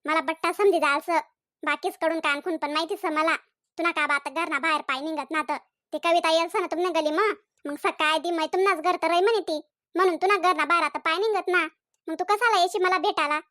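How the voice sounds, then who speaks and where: A woman's voice: very high voice, sharp tone, no room sound, studio quality. A woman voicing a scolding, jealous young village woman in a comedy cartoon, nagging and accusing in a Khandeshi Ahirani accent. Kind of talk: comedy cartoon dialogue in dialect.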